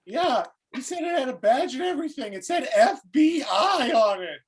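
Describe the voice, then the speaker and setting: A woman's voice: sits high, expressive, breathy edge. A woman acting a flustered, hesitant young male security guard in a script table read. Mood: flustered, defensive. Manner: halting, unsure.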